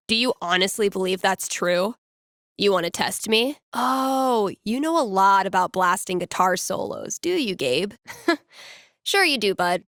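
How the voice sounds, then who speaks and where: A woman's voice: on the high side, a bit bright, no echo, clean studio sound. An actress playing a wry, teasing young woman in a video game, talking casually with playful sarcasm. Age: young adult. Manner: casual, sardonic, playful banter. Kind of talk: naturalistic video game voice acting.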